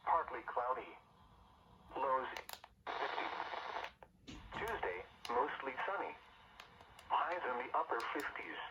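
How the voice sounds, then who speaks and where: A man's announcer voice reading a weather bulletin through a radio speaker in flat, clipped, formulaic phrases. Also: mid-range voice. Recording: muffled noisy radio played in room.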